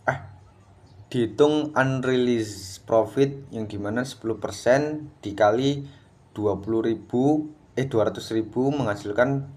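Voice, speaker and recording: A man's voice: on the low side, darkish tone. A young adult man, explaining worked material as a student in a class presentation. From Indonesia.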